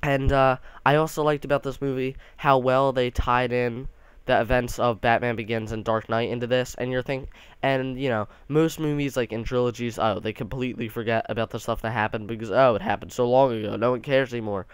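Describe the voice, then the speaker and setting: A young man talks through a movie review off the cuff, enthusiastic and full of restarts. His voice is smooth and clear, with a slight nasal stuffiness from allergies, and sits in the middle of the male pitch range. The recording is close and dry. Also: steady tone, a little fast, no room sound. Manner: rambling, enthusiastic, many restarts.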